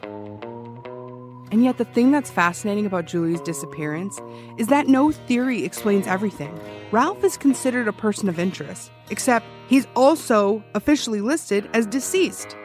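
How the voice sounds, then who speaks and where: A woman's voice: a little high, animated, normal speed, close to an everyday voice. A woman playing an obsessive, defensive ex-cop who hosts a true-crime podcast, telling it conversationally with wry emphasis. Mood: wry, intrigued. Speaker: true-crime podcast host, former police officer. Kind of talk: conversational scripted narration in an audio drama. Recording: music behind the voice. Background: music.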